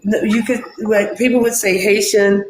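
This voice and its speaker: High-voiced man in an echoey room. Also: flat delivery, normal speed. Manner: searching, earnest, with many restarts. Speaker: panel guest. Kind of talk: casual live-stream chat.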